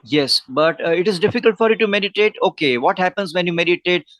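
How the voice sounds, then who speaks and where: Indian-accented male tutor, higher-pitched, dry sound, earnest and advice-giving. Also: quick pace, a bit bright, dry recording. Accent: Indian English. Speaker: English conversation tutor. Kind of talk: one-on-one online English lesson.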